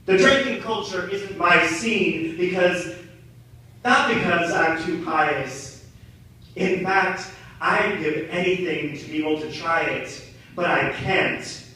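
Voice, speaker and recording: Young male monologist, bright intense voice, deliberate and poetic, distant in a reverberant room. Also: high-pitched. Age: young adult. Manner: theatrical, deliberate, poetic delivery. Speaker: monologue performer. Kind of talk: dramatic spoken-word monologue. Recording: very echoey, distant mic, noisy room.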